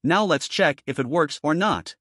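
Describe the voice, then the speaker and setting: A man's voice: on the high side, animated, moderate pace, bright, forceful tone, husky, dry recording, clean studio sound. A man presenting a programming tutorial in scripted step-by-step narration.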